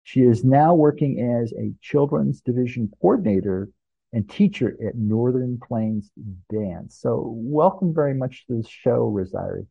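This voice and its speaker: A man's voice: mid-range voice, animated, a little slow, vocal fry, slightly rough, dry recording. Male podcast interviewer, mid-range voice, conversational questioning, dry but somewhat dull recording.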